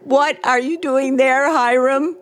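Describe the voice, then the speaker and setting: A woman acting a frightened, unhinged woman in a radio play, halting and dramatic, repeating her phrases. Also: high-pitched, fairly even intonation, a bit bright. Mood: guarded, placating. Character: frightened, unhinged woman, now giddy and triumphant. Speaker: actor. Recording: music behind the voice. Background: music.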